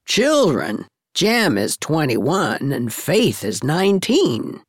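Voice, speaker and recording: A blunt old village busybody, acted out by a woman narrator in a storybook, pitched way down and slow. She is forthright and emphatic, and her tune swings widely. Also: expressive, no room sound, studio quality, full character voice. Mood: retorting. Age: older. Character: blunt, opinionated older woman, village gossip and matchmaker.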